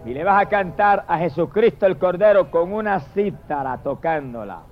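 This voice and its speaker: A male preacher with a high-pitched voice delivers a fervent evangelical sermon. He is emphatic and declamatory, stretching exalted phrases and speaking straight to his listeners. The recording is dull and lo-fi. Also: expressive, moderate pace, faint music behind.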